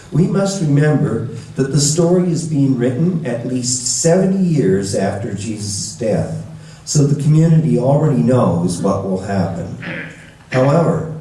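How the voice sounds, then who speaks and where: A man reading scripture to a church congregation in a measured, deliberate way, recorded with a roomy echo from a distant mic. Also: mid-range voice, dark tone. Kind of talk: scripture reading with brief introduction.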